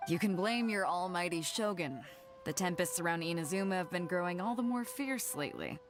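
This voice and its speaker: A woman's voice: fairly low pitch, expressive, a little fast, natural voice. A woman voicing a bold, confident sea captain in a video game, assertive and breezy with a teasing edge. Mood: assured. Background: game music.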